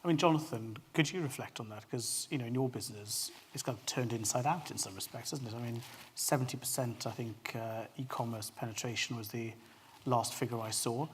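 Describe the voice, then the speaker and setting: A man's voice: medium pitch, a little fast, a little hiss or hum. A man moderating a panel discussion, putting questions to the panelists by name. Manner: probing, addresses panelists by name.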